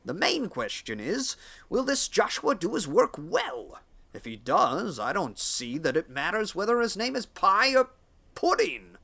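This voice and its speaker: An actor playing a cranky, outspoken older farmer in a storybook dramatization, grumbling in a blunt, folksy manner. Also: male voice, high voice, huge pitch swings, a little slow, vocal fry, pushed and bright, exaggerated voice. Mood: dry, gruff. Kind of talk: audiobook character acting.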